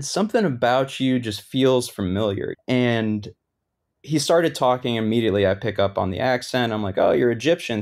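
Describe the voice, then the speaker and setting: A man of Lebanese origin, a thru-hiker guest, talking casually in an interview with many "like" fillers. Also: medium pitch. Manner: relaxed, lots of "like" fillers.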